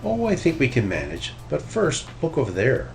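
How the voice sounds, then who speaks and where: Elderly bear grandpa, performed by a man: kindly, wise, country-folksy, animated intonation, children's story. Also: medium pitch, normal speed, gravelly, pitched above the performer's own voice. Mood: reassuring. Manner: folksy, teasing.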